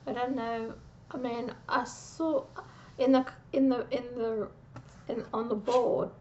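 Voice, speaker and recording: A woman giving a casual, meandering psychic reading, pausing and restarting often, on a dull lo-fi recording. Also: on the high side, level tone, darkish tone, a little hiss or hum. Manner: meandering, with frequent restarts and pauses. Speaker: psychic reader.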